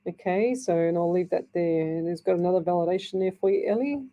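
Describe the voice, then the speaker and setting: A woman's voice: fairly low pitch, quick pace, muffled recording. A woman with an Australian accent hosting a live radio show as a psychic medium, chatting in a rambling, reassuring way with a dry, scratchy throat. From Northern Ireland. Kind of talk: live radio chat reading messages. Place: radio studio.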